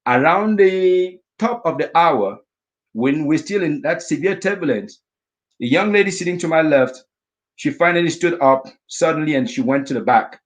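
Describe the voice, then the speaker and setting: A middle-aged man from Africa telling a vivid personal story as a guest speaker, acting out his own inner thoughts in lively asides. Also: on the high side, moderate pace, low-quality recording. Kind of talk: storytelling talk.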